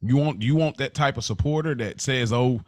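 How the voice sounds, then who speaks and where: A man's voice: mid-range voice, normal speed, darkish tone, no echo. A man co-hosting a podcast, casually pressing his point with questions and repeated phrases in informal language. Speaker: podcast host.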